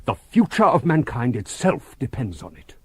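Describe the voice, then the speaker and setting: Snooty offworlder lecturing earth folk, formal, rough husky voice, very animated, dry sound. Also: male voice, medium pitch, normal speed, dry recording. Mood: fervent, persuasive. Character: haughty, visionary male offworlder scolding Earth people. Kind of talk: radio drama acting.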